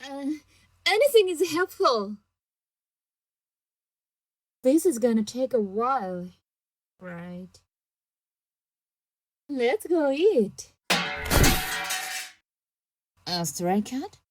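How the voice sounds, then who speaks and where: A woman acts out a kind-hearted young investigator in a radio drama. Her high voice swings widely and expressively, with an unhurried pace. She sounds earnest and outspoken. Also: sing-song, a little mellow, dry recording, exaggerated voice. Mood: muttering, unsure. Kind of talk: audio drama acting.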